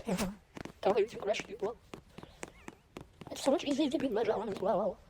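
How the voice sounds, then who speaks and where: A woman giving casual gameplay commentary, often repeating short phrases in quick bursts. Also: a little high, lively intonation. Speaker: gamer commentating own play. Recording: noisy home recording with clicks.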